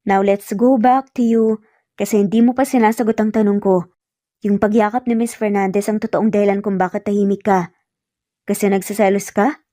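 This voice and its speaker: A woman voicing an earnest, teasing young male engineer in an audio romance story, speaking gently and sincerely with bits of English mixed in. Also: medium pitch, flat delivery, brisk, no room sound, clean studio sound, lightly acted.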